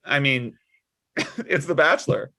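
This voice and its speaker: A man playing a prim, pious older dad, high-pitched. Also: very animated, takes it slowly, bright, forceful tone, exaggerated voice. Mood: breezy. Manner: sanctimonious, sugary jabs. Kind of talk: comedic script table read.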